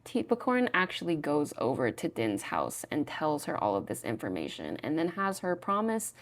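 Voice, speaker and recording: A woman's voice: low-pitched, wildly swooping pitch. A woman recapping a book and its drama adaptation in a chatty, casual way, often saying "like" and acting out short quoted lines. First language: not Thai. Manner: chatty, peppered with "like", acts out quick quoted lines.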